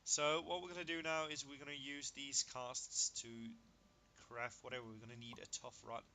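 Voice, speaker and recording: A man whose first language is Danish, giving casual gameplay commentary. He is excitable, marvels out loud at what he builds, and counts under his breath. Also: mid-range voice, normal speed. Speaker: gaming video creator.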